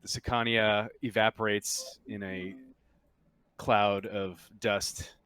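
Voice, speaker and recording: A man's voice: on the low side, flat delivery, slow, a bit bright, clean tone. A man narrating a tabletop roleplaying game as game master, in a casual, improvised way.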